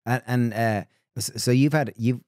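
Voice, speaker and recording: A man's voice: mid-range voice, fairly even intonation, moderate pace, darkish tone, no room sound, clean studio sound. A man co-hosting a podcast, chiming in to clarify points for listeners in casual conversation. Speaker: podcast co-host.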